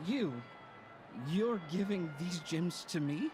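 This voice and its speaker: A man's voice: high-pitched, a little mellow, heavily acted. A man voicing a tipsy would-be poet who giggles between phrases, in a game with background noise.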